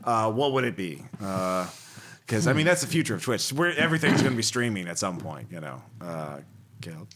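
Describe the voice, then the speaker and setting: A man's voice: fairly low pitch, very animated, a little fast, fairly bright tone. A man hosting a casual group chat in a wry, teasing way. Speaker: podcast host.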